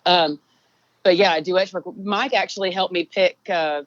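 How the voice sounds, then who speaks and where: Texan woman, low voice, laid-back rambling talker, dry muffled recording. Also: unhurried, slight background noise. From Texas. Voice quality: low singing voice. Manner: relaxed, rambling with many fillers. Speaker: songwriter guest. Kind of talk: casual interview chat.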